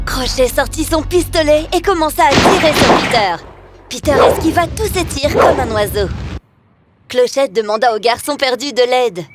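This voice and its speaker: A woman's voice: high-pitched, moderate pace, loud music behind the voice. A woman reading a scripted fairy tale as a narrator for children.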